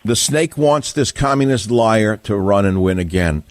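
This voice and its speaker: A man's voice: fairly low pitch, expressive, normal speed, no room sound. An older man with a New York accent hosting a radio call-in interview, asking combative, blunt questions with rising emphasis. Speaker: radio talk show host.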